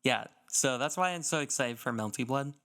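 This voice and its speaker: A man's voice: medium pitch, expressive, moderate pace, fairly bright tone. A man co-hosting a casual gaming podcast, talking enthusiastically and giving emphatic opinions. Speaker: podcast co-host.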